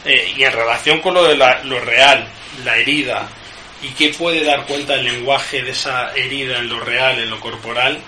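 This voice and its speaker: A man, himself a poet, musing in a halting, self-deprecating way while posing questions to a fellow poet. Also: mid-range voice, fairly even intonation, bright, forceful tone. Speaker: poet, interviewer. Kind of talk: literary conversation. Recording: echoey room, noisy background.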